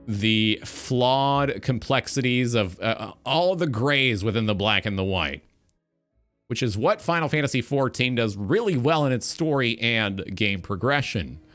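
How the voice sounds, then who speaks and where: A man hosting a talk show, giving opinionated commentary on gaming in an emphatic, deliberate way with ornate wording. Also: mid-range voice, lively intonation, a bit bright, faint music behind.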